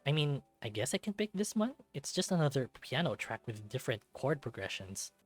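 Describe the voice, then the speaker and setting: A man's voice: medium pitch, big pitch swings, brisk, soft background music. A man reading a first-person story aloud in a dramatic narrating style, as for a visual novel.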